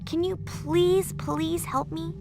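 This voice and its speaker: An actress playing a spunky, curious, sarcastic young girl in a video game, perky and expressive. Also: very high-pitched, slow pace, noisy background, heavily acted. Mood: puzzled, pleading. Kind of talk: video game character acting.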